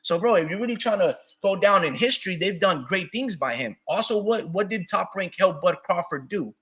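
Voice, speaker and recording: A man's voice: high voice, fairly quick. Fired-up man, slightly strained voice. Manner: animated, profane, argumentative. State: losing his voice a little. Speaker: boxing talk show host. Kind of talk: heated casual boxing debate.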